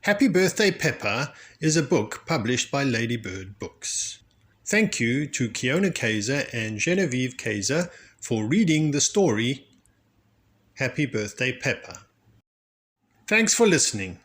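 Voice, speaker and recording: A man reads a children's storybook aloud in an expressive way. His delivery is slow and deliberate, and his pitch moves in lively, animated swings. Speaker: storybook reader, children's read-aloud channel. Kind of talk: expressive scripted storybook narration.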